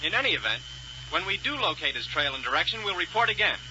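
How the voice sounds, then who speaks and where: An actor playing a decisive heroic rocket captain in a 1930s radio serial, brisk, businesslike and urgent. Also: male voice, sits high, very animated, sharp tone, heavily acted. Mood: businesslike, concerned. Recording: dull, hissy 1930s radio recording.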